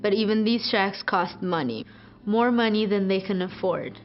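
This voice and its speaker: A teenage girl from Ethiopia, a student, narrating a scripted video in an earnest, measured way. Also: medium pitch. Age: teens. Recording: muffled, over guitar music.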